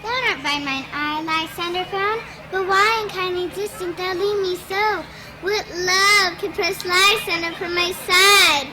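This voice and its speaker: A woman's voice: extremely high pitch, steady tone, measured pace, noisy background. A woman playing a hurt, deserted young lover in a Shakespearean stage scene. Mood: hurt, disbelieving.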